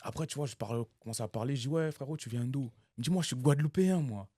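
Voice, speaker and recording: A young man from Cameroon talks with friends in a relaxed, slangy way, slipping into lively storytelling and laughing at his own anecdotes. His voice sits at an ordinary pitch and sounds dry and close to the mic. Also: rapid-fire, no room sound. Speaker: guest. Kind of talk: casual chat.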